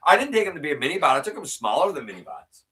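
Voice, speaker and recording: Bright-voiced man hosting a live chat. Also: big pitch swings, very fast, distant mic. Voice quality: sometimes a little raspy. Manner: opinionated, ranting with rising pitch when worked up. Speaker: host reading viewer comments. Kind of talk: casual live-stream chat.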